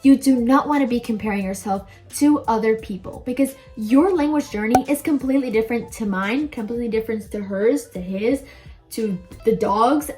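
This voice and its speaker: High-voiced chatty young woman. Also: a little mellow. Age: young adult. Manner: chatty, emphatic, informal. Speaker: language-learning video host. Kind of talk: casual advice to viewers.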